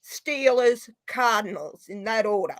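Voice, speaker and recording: High-voiced woman with a head cold. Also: expressive, no echo. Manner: chatty, quick to apologize. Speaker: podcast guest. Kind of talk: casual chat.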